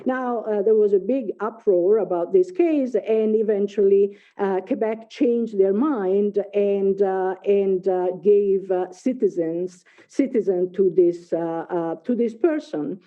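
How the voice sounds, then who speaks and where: A middle-aged woman with an Italian accent gives an academic talk at a middle pitch, often pausing on fillers and restarting her sentences. Her voice comes through a narrow, phone-like video call line. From Italy. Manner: hesitant, many fillers and restarts. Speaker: invited speaker, linguist.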